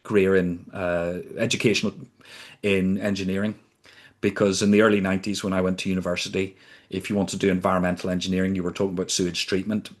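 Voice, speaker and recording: Man in his 50s, from the UK, very low voice, even and level delivery, measured and anecdotal. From United Kingdom. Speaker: podcast guest, sustainability consultant. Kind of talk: reflective storytelling in conversation.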